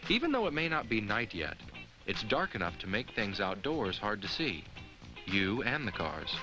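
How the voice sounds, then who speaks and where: An actor playing a friendly, earnest talking jack-o'-lantern, gently instructing children in the scripted style of a 1980s educational film. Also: male voice, on the low side, very animated, moderate pace, music in the background, low-quality recording. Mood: kindly, instructive.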